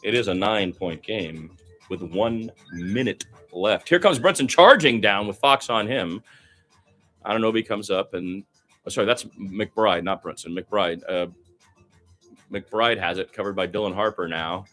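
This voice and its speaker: A man's voice: fairly low pitch, unhurried, fairly bright tone, faint music behind. A man doing live radio play-by-play of basketball, describing the action in running detail with short exclamations and asides.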